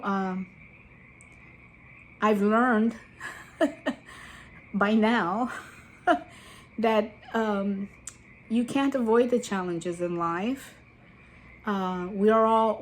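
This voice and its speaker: A woman's voice: mid-range voice, slight background noise, poor audio. A woman in her seventies, originally from Guatemala, chatting casually to her channel viewers as she tells her life story with digressive asides. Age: 70s. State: sweaty after a walk. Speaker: YouTube channel host. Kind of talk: casual personal storytelling to camera.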